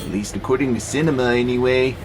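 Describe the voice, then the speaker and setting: A man's voice: mid-range voice, fast talker, music in the background, lightly acted. A man acting in an audio drama as a wry pacifist warehouse robot, speaking in a dry, skeptical, laid-back way. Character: wry, easygoing pacifist male warehouse robot who loves nature.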